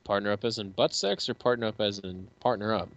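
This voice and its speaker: A man's voice: on the low side, brisk, a bit bright, highs cut off. A man co-hosting a casual fan movie commentary, joking profanely and slipping into mock quotes. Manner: crude, profane, breaks into mock voices and quotes.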